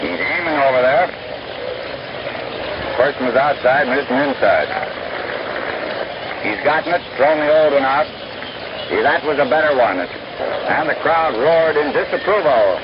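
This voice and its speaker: Through loud noise and a dull, scratchy vintage broadcast comes an American man announcing baseball. His pitch is middling for a man. He gives folksy, wry running commentary in the manner of 1930s and 40s radio. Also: animated, a little slow, long pauses.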